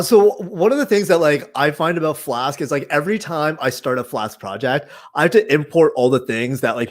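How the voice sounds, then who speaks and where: A man hosting a meetup Q&A, casual and filling his questions with "like". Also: on the high side. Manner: casual, heavy on "like" fillers. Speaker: meetup host.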